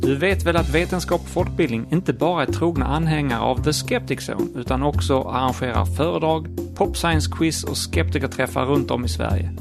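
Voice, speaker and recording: A man's voice: a little high, a little fast, vocal fry, few pauses. A Swedish man with a Swedish accent, reading a scripted promotional announcement for an association. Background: music.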